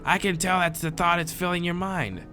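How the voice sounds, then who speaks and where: A man's voice: high voice, normal speed, bright, forceful tone, faint music behind, pitched above the performer's own voice. A man voicing a laid-back, friendly surfer-dude moth monster in a visual novel, with slangy, drawling talk. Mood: laid-back, animated. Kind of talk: visual novel character read aloud.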